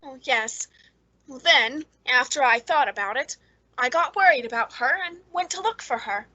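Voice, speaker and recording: A woman's voice: high voice, normal speed, bright, forceful tone, dry recording, muffled recording. An actress playing a lonely, eager, nervously polite woman in an old-time radio suspense drama, hesitant and genteel. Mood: nervous, friendly.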